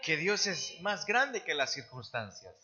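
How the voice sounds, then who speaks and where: A man's voice: on the high side, very animated, a little slow, pushed and bright, lo-fi sound. A man interpreting a sermon live, phrase by phrase, with occasional restarts. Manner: translating phrase by phrase, with some restarts. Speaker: church interpreter. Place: church service.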